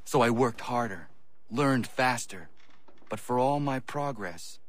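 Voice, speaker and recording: An actor playing an earnest, solemn young apprentice in a video game, speaking in a formal, measured way. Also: male voice, mid-range voice, expressive, slight background noise. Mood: solemn, determined. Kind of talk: dramatic game dialogue and solemn narration.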